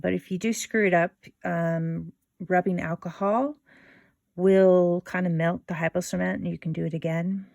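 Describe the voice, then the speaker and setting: A woman hosting a casual step-by-step craft tutorial in an informal, unhurried way, thinking aloud as she works. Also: lowish voice, gravelly, a little mellow, no room sound, clean studio sound.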